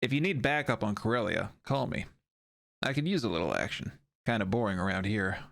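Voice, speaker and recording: A man's voice: lowish voice, lively intonation, brisk, gravelly, fairly bright tone, dry recording, studio quality. A man playing a frank, easygoing, lovelorn doctor in a sci-fi audio drama.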